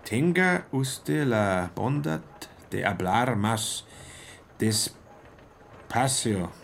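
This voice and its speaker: Creaky-voiced, self-important young salesman. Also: medium pitch, expressive, very slow, slight background noise, full character voice. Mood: studious, reciting. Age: young adult. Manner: stilted, pedantically correct speech. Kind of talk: radio play acting.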